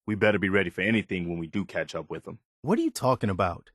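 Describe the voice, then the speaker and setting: An earnest wasteland militia lieutenant with a lowish male voice, recorded close and dry. He speaks plainly and with principle, like a dutiful soldier in a video game. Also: quick pace, fairly bright tone, no echo. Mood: serious. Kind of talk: video game character dialogue.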